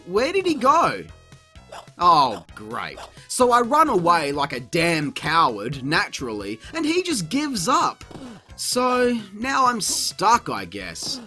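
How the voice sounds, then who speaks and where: A reviewer delivers a scripted comic rant about games, full of swearing and sarcasm. The pitch swings widely with exasperation, and music plays behind the voice. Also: wildly swooping pitch, takes it slowly, sharp tone. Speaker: video game reviewer, channel host. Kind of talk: scripted comedic review narration.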